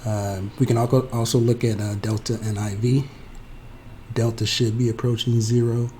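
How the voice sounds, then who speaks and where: Hoarse male tutor, low mellow voice, halting delivery, faint hiss behind. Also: flat delivery. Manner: halting, many fillers and restarts. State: hoarse from a head cold. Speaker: trading tutorial presenter. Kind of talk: unscripted screen-share explanation.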